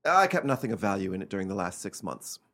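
A man acting in a radio play as a nervy, high-handed gentleman with an agitated, melodramatic and commanding manner. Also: sing-song, fast. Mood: guarded.

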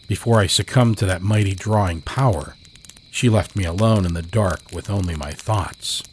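Over night crickets comes a man acting a haunted, bereaved lover telling a campfire horror tale. The voice is very low and very slow. The delivery is solemn and brooding, full of frail longing. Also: slight background noise. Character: grieving, frail narrator longing for his dead beloved. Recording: cricket night ambience under the voice.

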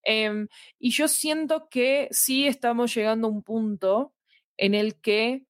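A woman's voice: fairly high pitch, steady tone, takes it slowly, no echo, clean studio sound. A woman in a conversational discussion, speaking in an articulate, explanatory and emphatic way. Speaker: interview guest, fiction podcast specialist.

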